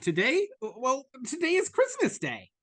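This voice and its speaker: A woman's voice: sits high, huge pitch swings, a little slow, a bit bright, full character voice. Woman playing a flustered, swooping little bunny boy. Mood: flustered, hesitant. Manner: stammering, hesitant. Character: small eager, flustered young bunny errand boy. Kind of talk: live dramatic reading.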